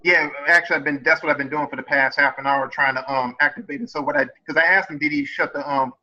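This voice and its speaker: A man calling into an online session, speaking hesitantly and filling pauses with ums. His voice is bright and a little tense. The call audio is dull and lo-fi. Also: fairly high pitch, fairly even intonation. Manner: hesitant, many fillers. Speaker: student caller. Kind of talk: casual call.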